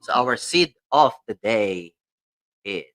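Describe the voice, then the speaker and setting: Bright, expressive man over music. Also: medium pitch, slow pace.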